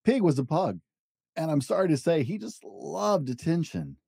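A man reads a children's picture book aloud in a warm, mellow voice with plenty of rise and fall. His storytelling is gentle and animated, close and dry to the mic. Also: fairly high pitch, normal speed, gravelly, husky, no room sound. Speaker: podcast host and dad reading to children.

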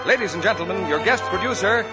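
High-pitched male announcer over loud music. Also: animated, quick pace, highs cut off. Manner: formal, declaiming. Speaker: radio announcer. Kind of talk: 1940s radio show announcing.

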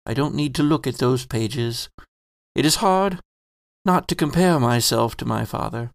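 A man voicing a gentle, reflective landlord with a gravelly edge. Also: mid-range voice, unhurried, no room sound, clean studio sound. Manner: soft reflective first-person monologue. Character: gentle middle-aged male landlord, kindly.

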